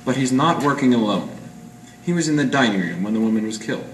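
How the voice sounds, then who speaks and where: An amateur actor playing a hard-boiled 1940s private eye in a radio noir, clipped, deadpan and slangy. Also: male voice, mid-range voice, quick pace, natural voice. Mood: urgent, commanding. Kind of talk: 1940s radio noir acting with first-person narration. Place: live presentation in a roomy gallery. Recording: echoey room, distant mic, faint noise.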